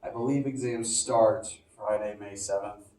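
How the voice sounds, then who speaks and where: A lower-pitched, mellow-toned man teaching a physics class, loose and chatty, stumbling through fillers and restarts. He sounds a bit sniffly. The sound is echoey and distant, from a phone left across the classroom. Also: fairly even intonation, measured pace. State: sniffly from allergies. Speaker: physics professor. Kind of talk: casual classroom lecture. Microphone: phone recording from across the room.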